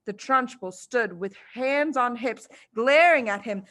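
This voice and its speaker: A woman reading a children's story aloud as expressive scripted narration. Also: on the high side, measured pace. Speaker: storybook reader.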